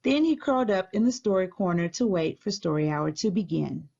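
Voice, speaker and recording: A woman reading a children's storybook aloud as a teacher would, clearly, deliberately and expressively. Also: medium pitch, lively intonation, dark tone, highs cut off.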